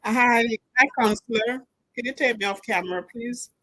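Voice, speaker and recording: A woman calls in and explains her case in a halting way, with many pauses and fillers. Her voice sounds bright and clear. The sound is dry and close. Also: fairly high pitch, no echo, low-quality recording. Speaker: caller. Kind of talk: call-in question.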